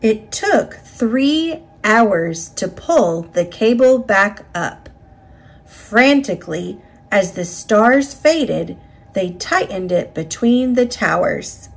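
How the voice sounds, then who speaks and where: Husky, expressive woman reading a storybook. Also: mid-range voice, takes it slowly. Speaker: storybook reader. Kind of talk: expressive read-aloud narration of a children's picture book.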